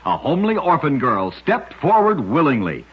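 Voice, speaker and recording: A husky man narrates scripted oddities as an old-time radio host. His voice moves with lively pitch in a measured, dramatic announcer's style. The sound is muffled and dated, like an aged broadcast recording.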